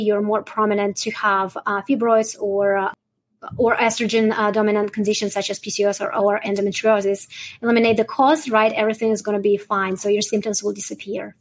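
A woman's voice: medium pitch, little pitch movement, fairly quick. A woman from Russia, answering interview questions in a rambling way and often adding "right?". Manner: rambling, frequent "right?" tags, light laughs. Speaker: guest, holistic nutritionist.

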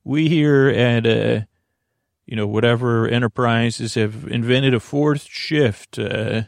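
A man with a creaky, gravelly voice hosting a sleep podcast, meandering and halting through tangents and filler words. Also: mid-range voice, no room sound, studio quality. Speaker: podcast host. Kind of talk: rambling bedtime sleep podcast monologue.

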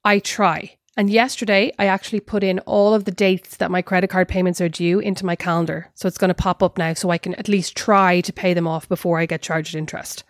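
A woman's voice: fairly low pitch, flat delivery, brisk, hardly any pauses, dry recording, studio quality. An Irish woman co-hosting a podcast, chatting in a rambling, self-mocking way. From Ireland. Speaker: podcast co-host. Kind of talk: casual chat.

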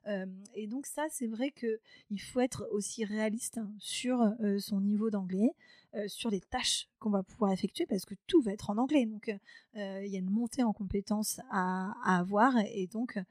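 A woman's voice: medium pitch, moderate pace, darkish tone, dry recording. Woman guest on a podcast, lots of ums and restarts, dry close sound. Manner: hesitant, many fillers and restarts. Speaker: podcast guest, company founder. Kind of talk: casual interview talk.

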